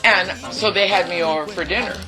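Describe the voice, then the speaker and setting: Loud, chatty woman in her eighties. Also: lowish voice, a little fast, over music, poor audio. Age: 80s. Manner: chatty, lively, quotes people in dialogue. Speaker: interviewee. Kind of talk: reminiscing storytelling.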